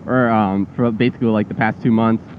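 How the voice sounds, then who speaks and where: A man's voice: lowish voice, brisk, narrow, tinny audio, slight background noise. A young man riding a scooter and chatting casually about his plans, with lots of fillers and a loose, rambling way of talking. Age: young adult. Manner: relaxed, rambling, frequent fillers. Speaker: motovlogger. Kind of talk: casual vlog narration while riding. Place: on a scooter on a suburban road outdoors.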